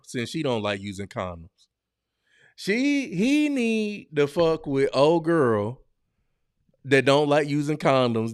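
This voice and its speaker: A male podcast host talks slowly and at ease, in a smooth, clean voice. His casual reaction commentary is slangy, blunt and joking, and he often breaks into exclamations. The sound is dry and close to the mic. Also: on the high side, no echo.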